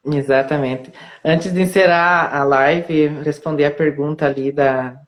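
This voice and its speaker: A man from southern Brazil, a local tour guide, calmly explaining things as a guest on a live-stream interview. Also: on the high side, unhurried.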